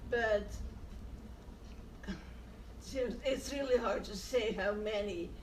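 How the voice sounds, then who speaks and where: A woman, recalling her past in short, halting phrases during an oral history interview. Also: mid-range voice, slight background noise. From Netherlands. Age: 80s. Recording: echoey room, distant from mic.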